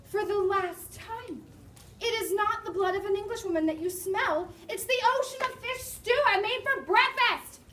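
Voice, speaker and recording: A woman voicing a bossy female giantess who talks loudly and impatiently. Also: very high voice, a little slow, few pauses, slight background noise, voice pushed up. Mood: exasperated. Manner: loud, exasperated, urgent. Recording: echoey room, mic far off.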